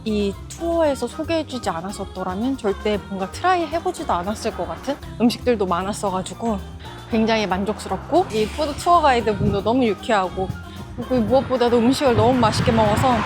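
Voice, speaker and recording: A young Korean woman with a Korean accent, chatting through a food tour for her travel vlog, laughing often. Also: fairly high pitch. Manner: bubbly, laughs often, switches between English and Korean. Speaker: travel vlogger. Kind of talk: casual food vlog chat.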